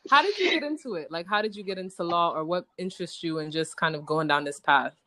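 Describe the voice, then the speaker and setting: A woman hosting a podcast, talking in a bubbly, slangy, excitable way as she chats casually with a guest. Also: fairly low pitch, quick pace. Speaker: podcast host. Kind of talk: casual interview chat.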